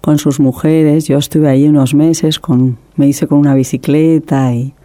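Dark-voiced middle-aged woman, passionate storyteller. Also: sits low, fairly even intonation, normal speed. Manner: passionate, emotional, many restarts. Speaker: documentary filmmaker, guest. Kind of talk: interview, personal storytelling.

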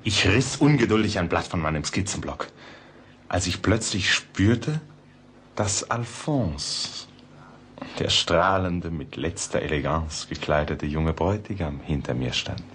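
An actor playing a cultured, reflective gentleman artist in a literary radio play, speaking in a measured, polite, contemplative manner. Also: deep voice, lively intonation, slight background noise. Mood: reflective, absorbed. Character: cultured, reflective Parisian gentleman sketching and writing letters. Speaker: narrator and character.

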